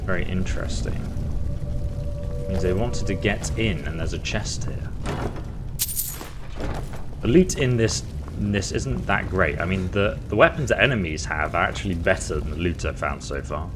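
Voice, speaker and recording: A man's voice: deep voice, moderate pace, pauses often, over music. A man hosting a game playthrough with casual commentary and a playful, mock-dramatic manner. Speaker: gaming video host. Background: game soundtrack.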